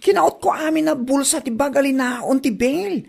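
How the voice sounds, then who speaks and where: A woman playing a chatty, excitable friend in a comedy drama, with a gossipy, exclaiming delivery. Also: high-pitched, normal speed, gravelly. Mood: excited, friendly. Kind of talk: Ilocano comedy drama acting.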